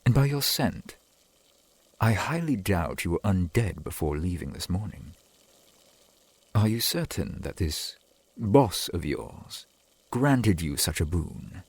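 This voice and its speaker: A man playing a slow, husky, courtly vampire boyfriend. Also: low-pitched, sing-song, dry recording, exaggerated voice. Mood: courteous, concerned. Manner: formal, archaic, gently attentive phrasing. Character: courtly, doting centuries-old vampire boyfriend. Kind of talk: ASMR boyfriend roleplay.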